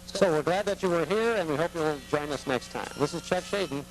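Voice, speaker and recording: A man's voice: fairly high pitch, a little fast, faint music behind, poor audio. A man hosting a local TV talk show, chatting with a guest and signing off in an easygoing way. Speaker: TV host.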